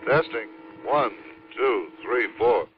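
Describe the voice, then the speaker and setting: An actor playing an obedient, uneasy astronaut reporting in a vintage radio science fiction drama. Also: male voice, mid-range voice, animated, slow pace, a little hiss or hum. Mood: tense, dutiful. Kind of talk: 1950s radio drama acting. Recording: dull old radio recording.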